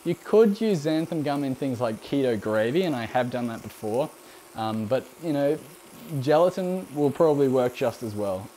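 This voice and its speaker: A man's voice: mid-range voice, moderate pace, slight background noise, low-quality recording. A young Australian man with a mild accent hosting a live cooking stream, chatting loosely with fillers while he answers viewer questions. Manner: rambling and relaxed, frequent ums, laughs often. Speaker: cooking channel host.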